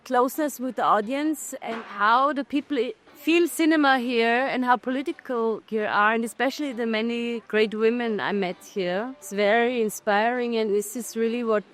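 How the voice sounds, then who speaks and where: A woman's voice: a little high, normal speed, hardly any pauses, a bit bright. Thoughtful Austrian-born woman filmmaker. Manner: thoughtful, searching for words, frequent restarts. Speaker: documentary filmmaker, festival guest. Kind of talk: festival interview answers.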